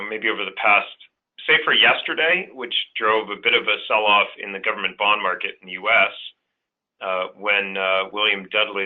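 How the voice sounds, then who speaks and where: Guy on a tinny phone line, lowish voice, giving off the cuff market takes, kinda halting. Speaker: investment colleague, commentator. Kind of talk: unscripted market commentary. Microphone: conference call line. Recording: thin phone-like conference-call line.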